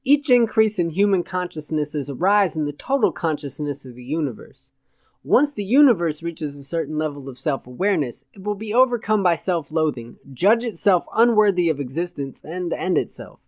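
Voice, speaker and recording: A man's voice: a little high, sing-song, moderate pace, a little mellow, dry recording, studio quality. A man voicing a pompous, gloomy old philosopher guru in an audiobook, declaiming in wordy pronouncements. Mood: grandiose, gloomy. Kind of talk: audiobook character voice.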